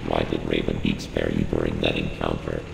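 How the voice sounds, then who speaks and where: A man voicing a cold, analytical ship computer in a video game, with a synthetic, gravelly robotic voice and a measured, clinical briefing delivery. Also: extremely low pitch, monotone, exaggerated voice. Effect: robotic voice processing. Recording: echoey hall reverb, humming ambient noise.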